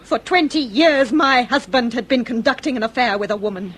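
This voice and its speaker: Radio drama: high-pitched upper-class English lady, wronged and anguished, formal, theatrical, slight background noise. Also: expressive, a little fast, fairly bright tone. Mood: shuddering, bitter. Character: anguished aristocratic lady confessing, dignified, wronged wife.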